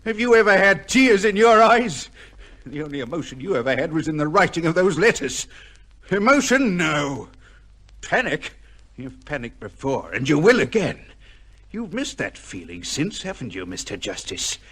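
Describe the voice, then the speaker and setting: An actor playing a cold, ruthless, vengeful son with a theatrical, taunting manner in an old-time radio drama. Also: male voice, on the high side, very animated, normal speed, a bit bright, husky, exaggerated voice. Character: bitter, vengeful illegitimate son, cold, ruthless, with heart trouble.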